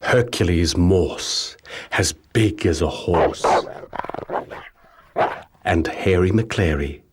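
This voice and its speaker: Deep-voiced man slowly reading a storybook. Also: noisy background. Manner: measured, rhythmic, theatrical storytelling. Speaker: audiobook narrator. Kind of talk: scripted children's storybook narration in rhyming verse.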